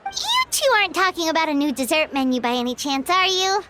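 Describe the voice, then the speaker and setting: A woman's voice: very high-pitched, huge pitch swings, brisk, exaggerated voice. A woman voicing a tiny, bubbly, childlike fairy companion in an anime game, wide-eyed and curious, with music behind her. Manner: exclamatory, wide-eyed, refers to herself in third person.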